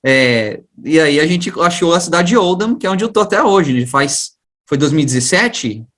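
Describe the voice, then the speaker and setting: A man with a fairly high-pitched voice and a Brazilian accent from the São Paulo region. He talks as an interview guest, recounting things informally. His way of speaking is chatty and full of everyday slang. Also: expressive, moderate pace, a bit bright. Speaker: guest, science teacher and education researcher. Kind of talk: casual conversational storytelling.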